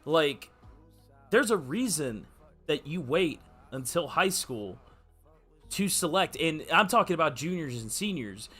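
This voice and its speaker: A man's voice: on the high side, sing-song, a little slow, fairly bright tone, slightly rough. A man giving casual, opinionated football talk, emphatic and slangy, arguing his point with rhetorical questions. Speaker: sports podcast commentator. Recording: music behind the voice. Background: music.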